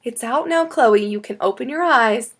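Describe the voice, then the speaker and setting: Calm primary school teacher explaining things to pupils, acted by a female narrator, high, expressive pitch. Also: moderate pace. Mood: reassuring. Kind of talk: children's audiobook character.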